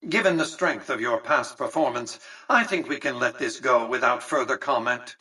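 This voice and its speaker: A man's voice: medium pitch, moderate pace, bright, forceful tone, slightly rough, far from the mic, low-quality recording. A man voicing a video game character, an imperious, calculating older tycoon who speaks in a condescending, precise and formal way. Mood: stern, reproving. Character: imperious, calculating older male tycoon commanding a robot army.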